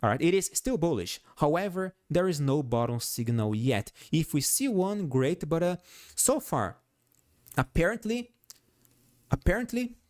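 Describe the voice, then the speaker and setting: A man's voice: a little high, a little slow. A Brazilian man with a Portuguese accent, a non-native English speaker hosting stock chart analysis, who often repeats himself and adds "all right?" to his points. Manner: animated, repeats and restarts phrases, frequent "all right?" tag. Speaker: host.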